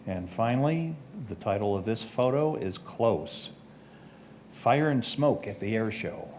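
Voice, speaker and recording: A man's voice: on the low side, lively intonation, measured pace, darkish tone. A man reading photo titles and short captions aloud for a presentation. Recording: clear background noise, room ambience.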